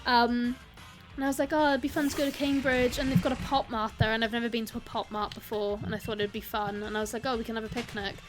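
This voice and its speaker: A young woman with a South Welsh accent chats in a bright, high voice while streaming a game. She rambles between topics, laughs a lot and welcomes viewers by name. Quiet game music plays in the background. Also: level tone, fast. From South Wales. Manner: chatty, giggly, greets viewers, rambling asides. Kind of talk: casual live-stream chat while gaming.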